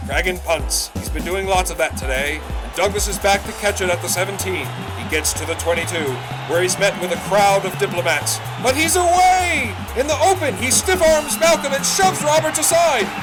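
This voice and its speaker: 1920s radio football announcer, shouting, fast play-by-play, loud music behind. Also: male voice, very high-pitched, poor audio.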